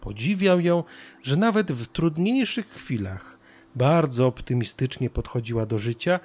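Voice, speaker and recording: Male narrator for a children's tale, mid-pitched with animated intonation. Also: unhurried. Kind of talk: scripted children's story narration.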